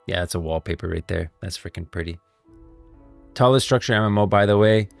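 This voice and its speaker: A man's voice: sits low, brisk, gravelly, no room sound. A man giving casual, enthusiastic livestream commentary on game lore, speaking emphatically and in slangy language. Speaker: live streamer, lore commentator. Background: music.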